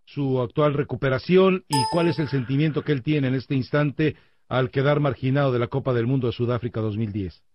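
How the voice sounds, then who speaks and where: A man's voice: mid-range voice, fairly quick, dull audio, noisy background. A man hosting a radio sports interview, asking long and formal questions.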